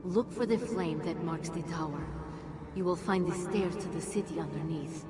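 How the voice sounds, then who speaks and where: An actress playing an ancient, solemn female Jinn in a video game cutscene, speaking in a grave, measured, commanding way. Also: lowish voice, close to an everyday voice. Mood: solemn, urging. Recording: loud orchestral game music underneath.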